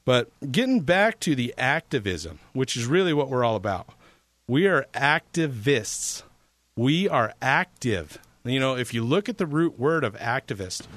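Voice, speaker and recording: A man's voice: mid-range voice, no room sound. Rambling sarcastic male talk radio host. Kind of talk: casual political talk radio.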